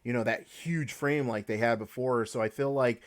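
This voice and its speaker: A man's voice: mid-range voice, normal speed. A man hosting a casual podcast chat, leading the talk with hedges and restarts. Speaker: podcast host.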